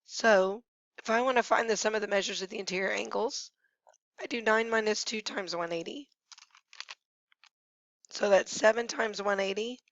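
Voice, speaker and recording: A woman math teacher who has nearly lost her voice, hoarse and at times whispering, patiently talking through each step of a screencast lesson. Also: medium pitch, fairly bright tone, dry recording. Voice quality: hoarse, gravelly, sometimes dropping to a whisper. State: losing her voice. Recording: dry, dull lo-fi screencast audio.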